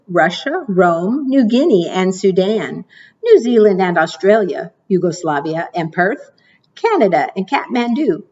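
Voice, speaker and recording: A woman librarian reading picture books and leading rhymes for preschoolers in a slow, encouraging sing-song way. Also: on the low side, slightly rough, lo-fi sound. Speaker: children's librarian.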